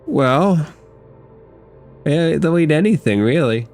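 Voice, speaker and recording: A man's voice: a little high, expressive, slow, darkish tone, soft background music. A man narrating a tabletop game casually as game master.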